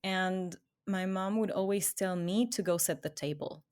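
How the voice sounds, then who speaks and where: A woman's voice: mid-range voice, measured pace, clean tone. A woman from Ecuador, co-hosting a podcast, telling her own story in a casual, chatty way and laughing often. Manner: chatty, laughs often, trails off quietly at phrase ends. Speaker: podcast co-host.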